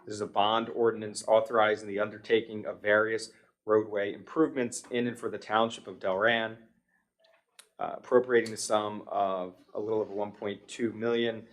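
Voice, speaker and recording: An American man chairing a township meeting, formally reading out long ordinances and motions in a procedural way. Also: on the low side. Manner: procedural, reading long legal text aloud. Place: township public meeting room.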